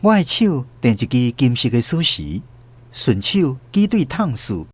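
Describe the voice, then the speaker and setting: A man with a Taiwanese accent narrating a scripted children's radio story in an expressive storytelling manner. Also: mid-range voice, measured pace, breathy edge, no echo.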